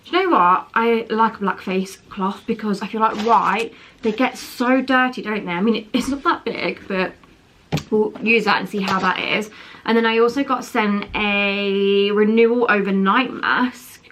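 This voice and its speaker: A woman's voice: mid-range voice, measured pace, fairly bright tone, slight background noise. A young woman vlogging at home, chatty and rambling, wheezy from a chest infection. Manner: chatty, rambling, self-correcting. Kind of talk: casual chatty vlog. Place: home, indoors.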